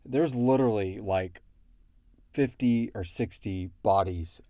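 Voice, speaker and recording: A man co-hosting a casual question-and-answer chat, thinking aloud and explaining things in a halting way. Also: lowish voice, mellow, no echo, clean studio sound. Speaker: podcast co-host and show creator answering fan questions.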